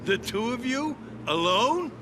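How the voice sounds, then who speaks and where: An actor playing a gruff, bitter leader of a group of survivors in a video game, with a scornful, drawn-out delivery. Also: male voice, very high voice, big pitch swings, noisy background, heavily acted. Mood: incredulous, angry. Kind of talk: video game cutscene acting. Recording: loud music behind the voice.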